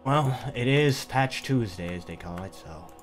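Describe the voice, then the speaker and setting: A man's voice: medium pitch, expressive, unhurried, faint music behind. A man with a Canadian accent, casually co-commentating a strategy game match and chuckling often. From Northern Canada. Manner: laid-back, chuckles often.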